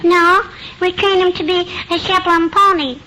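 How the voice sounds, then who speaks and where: A woman's voice: extremely high pitch, steady tone, moderate pace. A woman playing an inquisitive, earnest little schoolgirl in old radio comedy, with childish phrasing. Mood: earnest, chatty. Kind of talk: 1940s radio comedy acting. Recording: noisy old radio broadcast recording.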